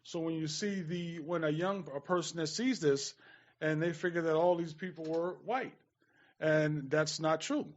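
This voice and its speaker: A man talking casually as a panelist on a radio talk show, halting and repeating words as he makes his points. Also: a little high, fairly even intonation. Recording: dull lo-fi radio recording.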